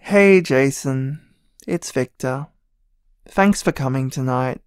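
A man voicing a sleepy, tipsy young man who leaves a gentle, drowsy voicemail thanking a friend, in an audio drama. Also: medium pitch, takes it slowly, clean tone, no echo, clean studio sound, close to an everyday voice.

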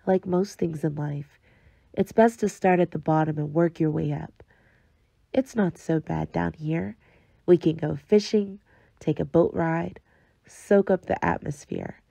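A woman's voice: deep voice, gravelly, mellow, dry recording, exaggerated voice. A woman voicing an intimate, knowing digital companion who coaxingly guides the listener in a scripted game trailer.

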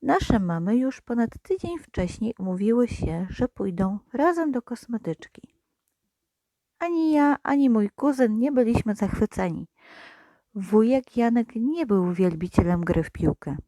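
A woman narrating a children's audio story in a slow, expressive reading voice with lively rises and falls. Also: a little high, no room sound.

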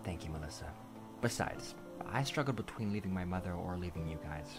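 An actor playing an earnest, guilt-ridden young male attendant to a princess in an animated drama, apologetic and pleading. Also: low voice, a little fast, music in the background. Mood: guilty, awkward.

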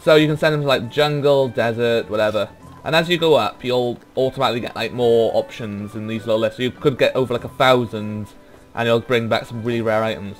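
A man's voice: mid-range voice, steady tone, a little fast, soft background music. A young Welsh man giving rambling, laid-back let's play commentary, trailing off with ums as he goes through menus. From Wales. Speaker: gaming YouTuber.

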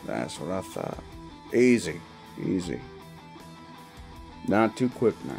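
A man playing a gruff, world-weary cowboy bounty hunter in a Western audio roleplay, with a folksy, threatening yet wry manner. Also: deep voice, a little mellow. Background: guitar music.

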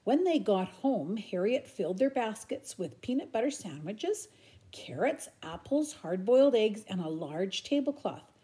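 Lively older woman reading a storybook. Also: mid-range voice, measured pace. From Canada. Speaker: storybook reader. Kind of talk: expressive children's storybook reading. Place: room at home.

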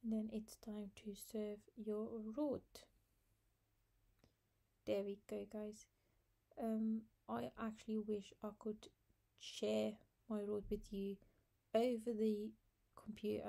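A woman's voice: mid-range voice, gravelly, mellow. A woman hosting a home cooking video, chatting through each step in a casual voice-over. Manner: chatty, talks viewers through steps with fillers. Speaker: cooking channel host.

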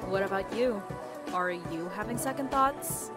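Woman acting anxious widowed mother, reluctant thief, audio drama, medium pitch, music behind. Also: expressive, unhurried, lightly acted. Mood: worried, weary.

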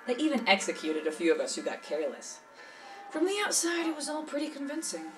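A woman's voice: high voice, big pitch swings, fast. A woman acting the part of a cynical, worldly smuggler who recounts things matter-of-factly in an audio drama interview. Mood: wry, matter-of-fact. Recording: slightly distant, music behind.

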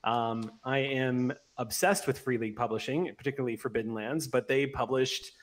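A man's voice: mid-range voice, steady tone, normal speed. A man in his thirties casually hosting a tabletop roleplay livestream as game master. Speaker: game master and stream host. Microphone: desk mic on video call.

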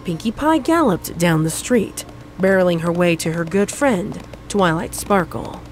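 A woman's voice: lowish voice, lively intonation, unhurried, heavy background noise. A woman narrating a scripted comedic story as a storyteller. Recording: faint music behind the voice.

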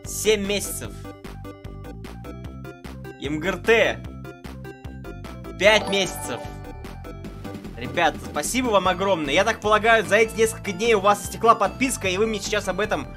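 A man's voice: high voice, normal speed, pauses often. Chatty male streamer over game music. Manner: chatty, greets and thanks viewers one by one. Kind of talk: casual live-stream chat. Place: small room indoors.

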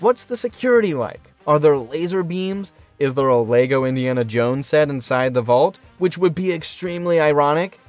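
A man's voice: on the high side, lively intonation, normal speed, few pauses. A man reading a scripted countdown narration with wry, punchy, sarcastic asides. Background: faint background music.

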